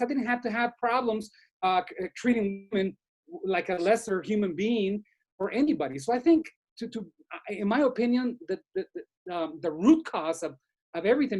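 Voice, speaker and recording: A man's voice: high voice, darkish tone. Earnest Guatemalan-born man on a video call. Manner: earnest, many restarts and repeated words. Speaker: panelist. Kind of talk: group discussion.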